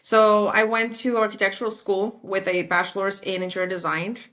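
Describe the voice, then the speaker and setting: A woman in her forties from Mexico, speaking as an interview guest, lively and acting out remembered conversations. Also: medium pitch, moderate pace. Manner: animated, acts out quoted dialogue. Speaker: guest, architectural designer.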